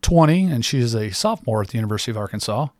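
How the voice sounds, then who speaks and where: A man's voice: mid-range voice, expressive, rapid-fire, a little mellow, no echo, clean studio sound. A man in his fifties from Central Iowa, a guest on a local radio show, answering in an easygoing, agreeable way. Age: 50s. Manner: easygoing, short agreeable replies. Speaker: interview guest, insurance agent. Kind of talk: casual interview chat. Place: small radio studio.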